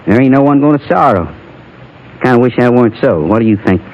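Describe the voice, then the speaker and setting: A man's voice: medium pitch, lively intonation, fast, slight background noise, close to an everyday voice. An American actor playing a bitter, wistful condemned outlaw in his thirties, with a rustic frontier drawl, in an old radio western. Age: 30s. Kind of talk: 1950s radio western acting. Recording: dull lo-fi vintage radio recording.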